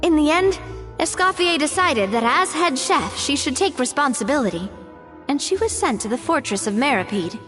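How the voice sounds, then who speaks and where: A lively-voiced young explainer. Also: female voice, sits high, normal speed, big-room sound, soft background music. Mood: informative. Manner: explanatory, gently hesitant. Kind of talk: video game dialogue.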